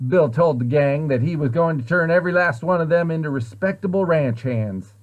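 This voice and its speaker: A man reading a children's story aloud in an expressive way, with deliberate, dramatic emphasis. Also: a little high, highs cut off. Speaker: storybook reader, site director at a children's center.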